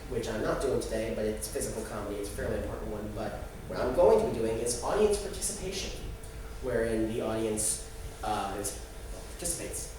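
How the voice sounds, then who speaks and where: A man's voice: lowish voice, expressive, a little fast. Young man presenting, very echoey distant recording. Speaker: theatre student presenter. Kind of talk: live class presentation. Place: echoey indoor room. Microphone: distant microphone. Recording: very echoey room, distant mic, noisy.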